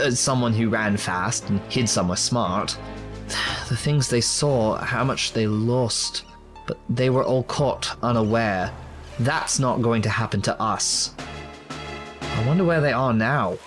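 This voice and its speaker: A man's voice: mid-range voice, unhurried, fairly bright tone, soft background music, lightly acted. An actor playing a defiant, earnest local man in an audio drama, speaking emphatically. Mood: worried. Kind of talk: full-cast audio drama acting.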